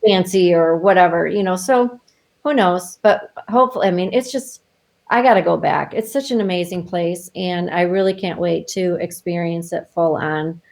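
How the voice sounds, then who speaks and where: A woman working as a travel advisor, chatting casually in an interview over a video call, with lots of fillers and quick laughs. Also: fairly low pitch, vocal fry, a little mellow.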